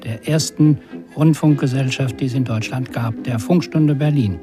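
A man, a scholar, explaining hesitantly in an interview with a husky voice, searching for words. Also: mid-range voice, normal speed, over music. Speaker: communication scholar.

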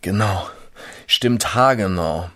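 A woman playing an infatuated lover murmuring to a partner in an intimate radio play, with a husky voice. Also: a bass voice, slow. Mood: coaxing.

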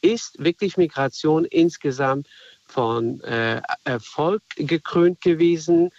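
A man from Afghanistan calling in to a radio show, earnest and reflective, often pausing to find his words. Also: a little high, a little mellow, dry recording. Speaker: caller. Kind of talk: call-in radio comment. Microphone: telephone. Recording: dull phone line.

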